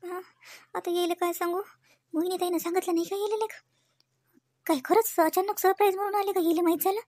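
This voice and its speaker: A woman's voice: extremely high pitch, steady tone, a little fast, warm tone, no room sound, clean studio sound. A woman with a Vidarbha Marathi accent voicing a nervous, fretful young wife in a comedy cartoon, hesitant and muttering. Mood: anxious muttering. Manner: flustered, hesitant, muttering asides.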